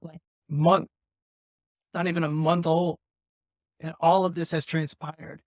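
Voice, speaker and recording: A man with a raspy voice hosting a podcast, talking candidly and earnestly about his own life, rambling with frequent restarts. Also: on the high side, level tone, a little slow, a little mellow, dry recording, studio quality. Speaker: podcast host. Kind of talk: candid personal talk.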